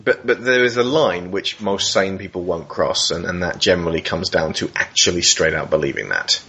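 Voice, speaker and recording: A man's voice: low voice, normal speed, sharp tone, husky. A man giving casual, conversational commentary as a podcast host. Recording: dull lo-fi audio.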